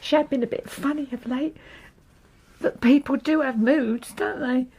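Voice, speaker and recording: An actress playing a meek, distraught young working-class wife in a radio drama, submissive and pleading. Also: female voice, sits high, moderate pace, a little mellow, slight background noise. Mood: tearful, pleading.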